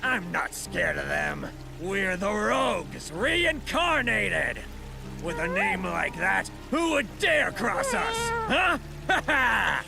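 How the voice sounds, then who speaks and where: A man's voice: high-pitched, big pitch swings, slow, vocal fry, over music, heavily acted. A cocky swindler boss from a video game. He scrapes and swoops between boasting and wheedling, drawing his words out theatrically. Some noise sits behind the voice. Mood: cocky, boastful. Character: brazen scheming male swindler, cocky gang leader. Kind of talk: theatrical game cutscene voice acting.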